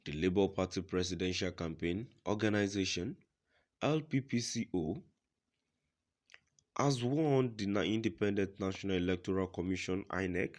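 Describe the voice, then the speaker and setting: Very low-pitched man reading news. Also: normal speed. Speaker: news reader.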